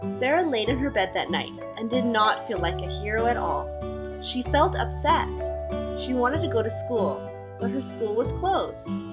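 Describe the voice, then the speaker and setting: A woman's voice: on the high side, very animated, moderate pace. A woman reading scripted narration for a children's storybook. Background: loud music.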